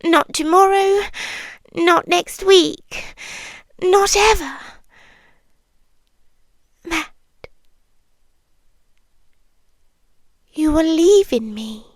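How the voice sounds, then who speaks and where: Woman acting a high-voiced British yandere monster girlfriend. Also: no echo, clean studio sound, heavily acted. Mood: hurt, intense. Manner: hushed, deliberate, dramatic pauses, slipping into whispers. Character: possessive yandere monster girlfriend, confessing her obsession. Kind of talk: ASMR roleplay, intimate and slow.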